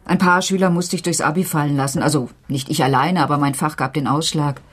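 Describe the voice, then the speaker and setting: An actress in a radio crime drama playing a defensive schoolteacher around forty who talks haltingly and insistently and is easily agitated. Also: female voice, low-pitched, steady tone, breathy edge. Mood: flustered, bitter. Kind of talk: naturalistic German radio crime drama acting.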